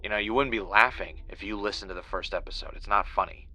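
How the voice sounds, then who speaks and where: An actor playing a defensive, easily riled podcaster and former reality show winner, insistent and argumentative in a natural conversational audio drama style. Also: male voice, on the low side, fast, pushed and bright. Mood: indignant.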